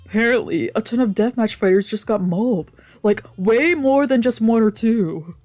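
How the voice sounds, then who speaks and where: A streamer acts out an excitable, gossipy bar worker in a visual novel. The pitch is raised a little above plain narration, with game music behind. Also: normal speed, no echo, lightly acted. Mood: gossipy, concerned. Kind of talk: visual novel character read-aloud.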